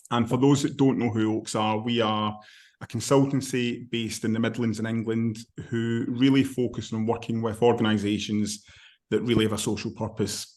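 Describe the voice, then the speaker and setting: Scottish male host, broad accent, steady apologetic tone, low-key level pitch. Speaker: webinar host. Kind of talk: hosting an online panel. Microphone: video call.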